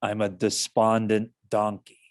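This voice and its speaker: A man playing a gloomy, joyless donkey in a stage script reading, with a deadpan, morose manner. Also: fairly low pitch, unhurried, no room sound, clean studio sound, lightly acted.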